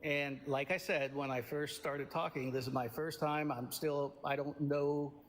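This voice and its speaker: A man originally from India, with a fairly high voice, calls into a heated debate. He sounds defensive and hesitant and fills his sentences with pauses. His audio carries a long, echoing reverb. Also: steady tone. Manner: defensive, hesitant, many fillers. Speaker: caller. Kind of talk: debate call-in.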